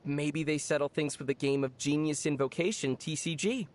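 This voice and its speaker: An actor playing a calm, knowledgeable young traveler in an anime video game, measured and matter-of-fact with gentle teasing. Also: medium pitch, a little hiss or hum, natural voice. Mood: calm, wry.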